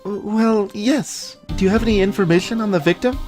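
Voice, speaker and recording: A woman's voice: medium pitch, normal speed, music in the background, natural voice. A woman voicing a perky, impulsive, childlike young female sidekick in a video game, playful and eager. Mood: curious.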